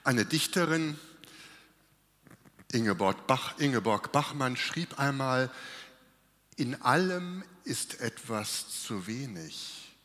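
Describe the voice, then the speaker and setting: A man preaching a sermon with a cold, speaking slowly and reflectively with pauses. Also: medium pitch, expressive, fairly bright tone. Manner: slow, reflective, with pauses and restarts. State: has a cold, coughing. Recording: long hall reverb.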